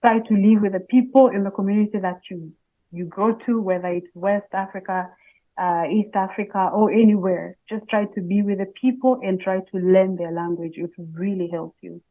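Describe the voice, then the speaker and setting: Tanzanian woman, swahili first language, answering interview questions, medium pitch, sounds like a phone call. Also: fairly even intonation, moderate pace. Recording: muffled, phone-like band-limited call audio.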